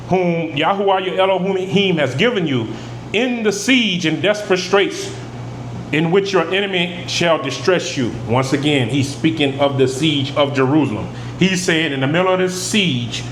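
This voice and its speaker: A man teaching scripture, reading verses aloud and then explaining them in plain, emphatic talk. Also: a little high. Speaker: preacher. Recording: echoey room with air conditioning hum.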